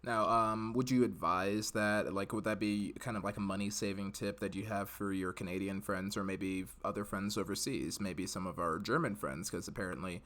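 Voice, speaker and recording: A man's voice: lowish voice, fairly even intonation, fairly bright tone. A man hosting a podcast, chatty and joking as he interviews a guest. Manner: chatty, joking, quick laughs. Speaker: podcast host.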